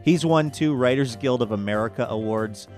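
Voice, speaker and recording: A man's voice: mid-range voice, moderate pace, darkish tone, faint music behind. A man hosting a radio show, talking in a conversational, enthusiastic way with wry asides. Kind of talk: upbeat show promo and interview talk.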